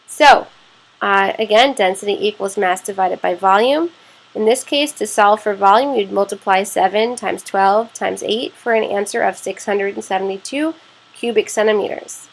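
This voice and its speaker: A woman's voice: medium pitch, vocal fry, rough recording. A woman science teacher narrating a lesson step by step, explaining plainly and often checking in with "okay".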